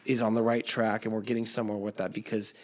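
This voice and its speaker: A man hosting a casual chat with his bandmates, rambling through stories with many fillers and restarts, his voice a little raspy. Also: lowish voice, level tone, fast talker. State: slightly raspy voice. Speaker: podcast host and band singer.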